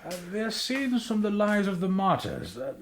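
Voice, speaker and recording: A man's voice: sits high, wildly swooping pitch, moderate pace, slight background noise. An actor playing a precise, hesitant papal attendant in a radio drama, correcting himself as he reports.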